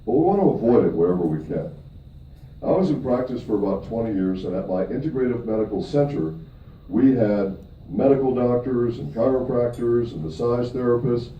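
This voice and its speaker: A middle-aged man from Michigan speaks at a live seminar. His voice sits in a middling register and sounds distant and echoey, with a dull, muffled tone and a faint hiss of room noise. He keeps checking in with the crowd, pushing his pitch up on key points. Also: expressive, fairly quick. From Michigan, USA. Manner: emphatic, with upward swings on key points. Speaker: presenter at a company event. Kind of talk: live seminar talk to an audience. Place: room where a talk is given to an audience.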